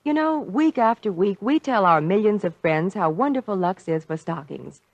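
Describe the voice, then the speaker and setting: Animated American radio spokeswoman, dry sound. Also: medium pitch, moderate pace, dry recording, highs cut off. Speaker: radio ad co-presenter. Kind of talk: scripted 1940s radio ad dialogue.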